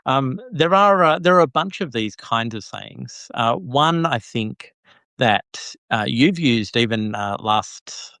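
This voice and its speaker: A man's voice: medium pitch, expressive, slow, pushed and bright, no room sound. A man hosting a casual podcast chat who speaks hesitantly, with lots of "uh"s and repeated words. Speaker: podcast host.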